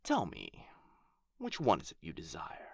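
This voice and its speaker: A man playing an irritable, proud dragon guarding his hoard in an ASMR fantasy roleplay, with a theatrical, haughty, breathy delivery. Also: sits low, huge pitch swings, a little slow, a little mellow, no room sound, studio quality, exaggerated voice. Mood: scornful, teasing.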